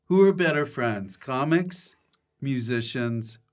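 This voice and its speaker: A man with a medium-pitched voice hosts a casual podcast chat. He speaks in short joking quips and brief questions to his guest. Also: expressive, takes it slowly. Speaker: podcast host.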